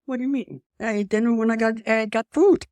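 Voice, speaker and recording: A woman's voice: fairly high pitch, steady tone, brisk, darkish tone, no echo. Older woman with a slight French accent. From Europe. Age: 80s. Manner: rambling, with restarts and emphatic asides. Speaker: interview guest. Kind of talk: personal storytelling in conversation.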